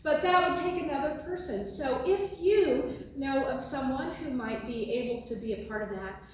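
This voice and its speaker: An older woman pastor with a booming voice giving church announcements and a benediction, recorded by a distant phone in a large sanctuary. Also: moderate pace, slight background noise. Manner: booming, expressive, gesturing widely. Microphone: phone on a tripod from afar. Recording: echoey sanctuary from far off.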